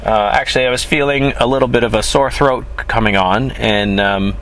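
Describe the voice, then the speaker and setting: A man's voice: medium pitch, steady tone, fairly quick, fairly bright tone, poor audio. A man with a stuffy, sniffly voice chatting casually to his viewers in a rambling, self-correcting way. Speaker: film crew member. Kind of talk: casual vlog monologue. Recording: traffic noise outdoors.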